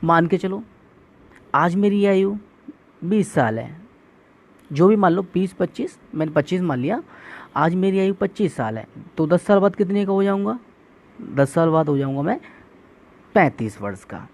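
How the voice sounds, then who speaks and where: A man's voice: high-pitched, animated, normal speed, long pauses, darkish tone. A male maths teacher giving an exam-prep lesson, explaining step by step, asking questions and answering them himself, and calling viewers friends.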